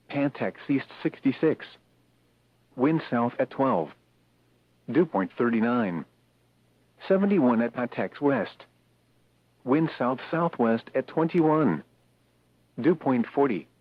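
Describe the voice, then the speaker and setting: A tinny, narrowband radio signal carries a synthetic male announcer. He reads weather bulletins in a formal, measured, impersonal way. The pitch sits in the middle range for a man, and the sound is dry, with no room around it. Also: breathy edge, no echo. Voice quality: synthetic text-to-speech voice. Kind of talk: scripted weather bulletin readout. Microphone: radio broadcast, dry sound.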